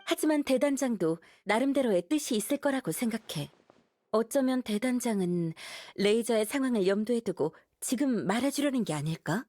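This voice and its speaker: High-voiced, composed lady knight. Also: lively intonation, moderate pace, mellow, no echo. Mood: kindly earnest. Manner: composed, formal, explanatory. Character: earnest, dutiful young woman knight leader. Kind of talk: Korean anime game voice acting.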